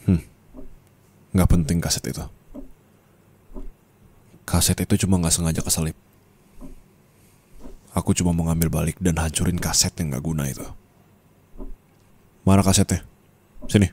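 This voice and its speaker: A man playing a cold, bitter young ex-boyfriend in an ASMR roleplay, speaking flatly and dismissively. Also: sits low.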